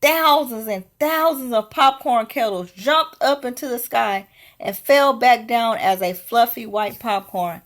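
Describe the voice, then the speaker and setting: Female picture-book narrator, higher register, unhurried and deliberate, expressive read-aloud style. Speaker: storyteller.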